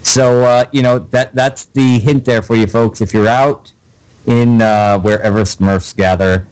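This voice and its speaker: A male co-host of a casual radio show who talks in a dry, halting way. He stumbles through news stories with plenty of ums and uhs, at an average pitch. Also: fairly even intonation, darkish tone, rough recording. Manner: dry, hesitant, many fillers while reading. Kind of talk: casual banter and reading news items.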